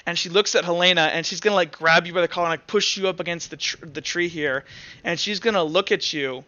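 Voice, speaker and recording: Male tabletop game master, high-pitched and bright, improvised narration and rules talk, dry, slightly dull recording. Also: fast talker, dry recording.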